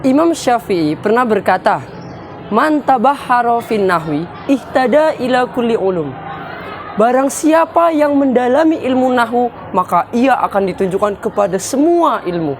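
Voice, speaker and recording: A woman's voice: on the high side, slight background noise. A young woman student giving an emphatic testimonial, raising her voice as she quotes a scholar. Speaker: madrasah student. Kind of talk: testimonial to camera.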